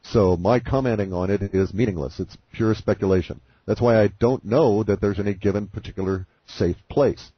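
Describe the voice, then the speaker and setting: A man on a conference call giving a deliberate, philosophical explanation in a calm, speculative way. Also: on the low side, breathy edge, no room sound. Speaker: guest speaker on a conference call. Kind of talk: measured explanatory monologue. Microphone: phone line. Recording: muffled phone-call audio.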